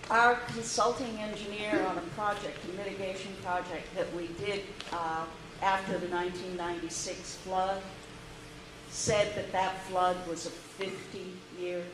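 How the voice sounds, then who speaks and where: Flat-voiced woman speaking in a hall. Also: medium pitch, measured pace. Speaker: meeting speaker. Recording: echoey hall, noisy.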